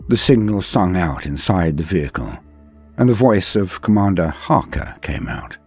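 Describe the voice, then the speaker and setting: A man delivers dramatic scripted war-fantasy narration in a low, husky voice. His pitch swings widely for emphasis, and he leaves weighty, solemn pauses between phrases. Music plays behind him. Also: sing-song, a little mellow. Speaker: storyteller, channel narrator.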